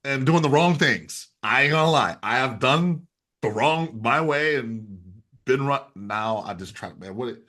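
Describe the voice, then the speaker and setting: A man's voice: mid-range voice, expressive, measured pace, sharp tone. A man in his forties hosting an interview in casual, slangy, informal chat. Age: 40s. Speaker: interview host.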